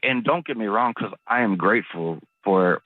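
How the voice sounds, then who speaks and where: Male radio caller, low-pitched, halting and hedging, slightly lo-fi call audio. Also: expressive, dry recording. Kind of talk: hesitant casual phone talk. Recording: dull, lo-fi phone call.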